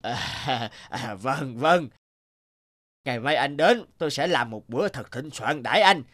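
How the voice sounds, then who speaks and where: A man's voice: medium pitch, expressive, a little slow, a bit bright. A man voicing a sly, greedy, lazy fox in a children's cartoon, wheedling and hemming as he talks. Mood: sheepish, apologetic. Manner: wheedling, hesitant with many hems.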